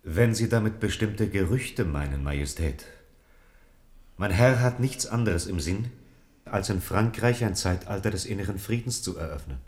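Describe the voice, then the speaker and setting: A loyal French envoy in a radio drama, with a deep voice. He speaks earnestly and courteously, deferential and restrained throughout. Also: animated, moderate pace, a little mellow. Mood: diplomatic pleading. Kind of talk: German radio drama acting.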